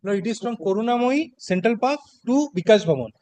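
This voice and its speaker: A man's voice: high voice, animated, fairly quick, mellow, no room sound. A male lawyer with a Bengali Indian accent, making formal, deferential submissions to a judge. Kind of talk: formal courtroom argument.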